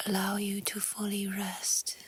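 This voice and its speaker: A woman with a soft, breathy, whispery voice calmly guiding a relaxation meditation in short, gentle phrases. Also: mid-range voice. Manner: calm, hushed, gentle, with short pausing phrases. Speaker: yoga nidra meditation guide. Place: outdoors in nature. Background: faint natural ambience.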